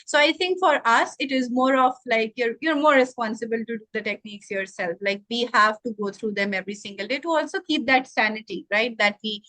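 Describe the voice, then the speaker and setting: A woman's voice: on the high side, brisk, few pauses, bright, forceful tone, dry recording. A woman from Pakistan, a guest NLP trainer speaking earnestly and reflectively in a conversational interview, with many "you know" fillers. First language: not English.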